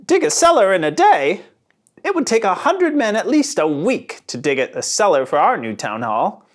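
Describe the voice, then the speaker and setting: A man's voice: high voice, big pitch swings, moderate pace, voice pushed up. A man voicing a skeptical small-town selectman in a children's storybook, exclaiming in disbelief. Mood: incredulous.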